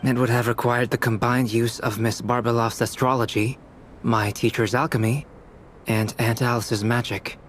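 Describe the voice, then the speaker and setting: A man voicing a calm, analytical alchemist. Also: mid-range voice, a little hiss or hum, close to an everyday voice. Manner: measured, analytical, explains theories. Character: calm, brilliant young male alchemist. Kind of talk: video game voice acting.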